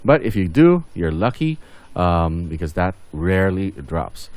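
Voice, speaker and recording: Low-voiced man casually narrating gameplay. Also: big pitch swings, a little slow, a little mellow, no echo. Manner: rambling, thinking aloud. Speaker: gaming video host.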